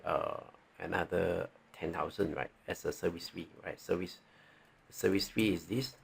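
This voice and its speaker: Low-pitched, creaky Malaysian man teaching. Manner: halting, thinking aloud, frequently checking with "right". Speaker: tax training presenter. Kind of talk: informal tutorial walking through on-screen examples.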